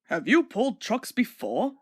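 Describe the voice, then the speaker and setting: A woman voices a portly man in charge of a railway in a children's tale. He is stern and authoritative. His delivery is measured and instructive. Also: medium pitch, big pitch swings, breathy edge, no echo, studio quality.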